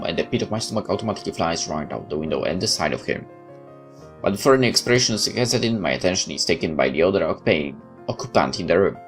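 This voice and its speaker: A man playing a game, reading the story aloud expressively and adding casual asides. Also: low voice. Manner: expressive, theatrical. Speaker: let's player.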